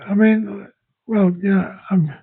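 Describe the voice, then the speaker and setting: A bilingual man who speaks English and Welsh talks haltingly, often restarting his sentences in a relaxed conversation. His voice is slightly high and husky. The recording sounds dry and narrow, like a phone line. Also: no echo. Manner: hesitant, halting, many restarts. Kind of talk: casual podcast conversation.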